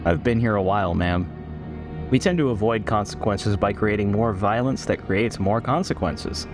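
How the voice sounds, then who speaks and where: A man playing a defiant, principled military commander in a science fiction audio drama, guarded and measured with hesitant pauses. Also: fairly low pitch, over music, natural voice.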